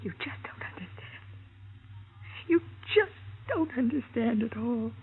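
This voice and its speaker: An American actress playing a naive, loyal and fragile wife in a radio melodrama, speaking in a plaintive, halting way. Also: female voice, fairly high pitch, expressive, slightly rough, heavily acted. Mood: hurt, tearful. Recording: tinny, narrow-band vintage radio recording.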